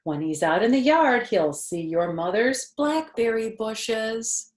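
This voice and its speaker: A woman's voice: fairly high pitch, lively intonation, reverberant. An older woman reading a picture book to children in a grandmotherly, chatty way, with casual asides to her listeners. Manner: grandmotherly, chatty, asking listeners questions and pausing on phrases. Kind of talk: animated read-aloud with casual asides.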